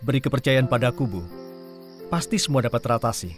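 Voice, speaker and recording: A man's voice: medium pitch, lively intonation, fast, close to an everyday voice. An actor playing Ken, an earnest, devoted grown son who narrates his own story in a heartfelt, solemn way in an audio drama. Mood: gentle. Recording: roomy echo with long reverb, distant, music behind.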